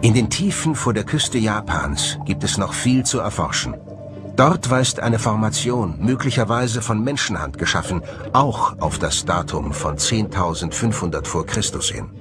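A man reads scripted documentary narration in a measured, solemn way. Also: lowish voice, lively intonation. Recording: music bed under the voice. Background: music.

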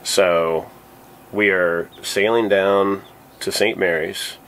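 Deep-voiced man chatting aboard a sailboat. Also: slow pace. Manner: relaxed, explaining plans. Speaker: sailing vlogger. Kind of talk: casual vlog talk. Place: sailboat cabin and cockpit.

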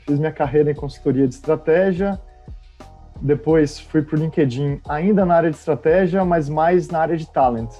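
A man in his thirties from Bahia with a Bahian accent, telling his story as a guest in a relaxed, friendly way. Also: fairly high pitch. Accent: Bahian Portuguese. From Bahia, Brazil. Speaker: startup co-founder guest. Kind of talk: casual interview talk.

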